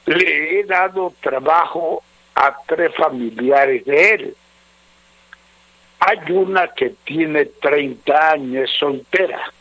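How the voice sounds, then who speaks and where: Slow, theatrical man on radio advice show. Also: on the high side, a bit bright, no echo, poor audio. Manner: emphatic, dramatic delivery in short, drawn-out phrases. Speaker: astrologer and advice host answering listeners' letters. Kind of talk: radio advice show, reading letters aloud and answering.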